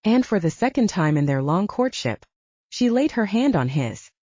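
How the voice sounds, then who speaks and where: A woman's voice: mid-range voice, sing-song, moderate pace, mellow, no echo, muffled recording. A woman reading literary fiction as a scripted audiobook narration, moving between story and dialogue.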